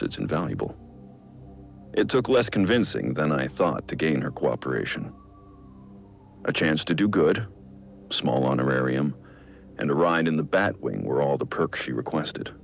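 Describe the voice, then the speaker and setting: Brooding middle-aged American crimefighter for game audio logs; introspective, gravelly delivery, slightly muffled sound over soft music. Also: male voice, heavily acted. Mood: measured, resolute. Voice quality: gravelly, low. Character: brooding middle-aged male masked vigilante dictating case logs. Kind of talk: scripted audio-log monologue.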